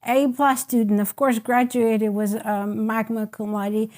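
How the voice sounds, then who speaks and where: A woman with a fairly high voice hosts a relaxed podcast conversation. She keeps the others going with murmured agreement and leans into her points with emphasis. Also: unhurried. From Ukraine. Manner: warm, affirming listener with frequent murmurs, emphatic when making a point. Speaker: podcast host. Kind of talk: casual group chat.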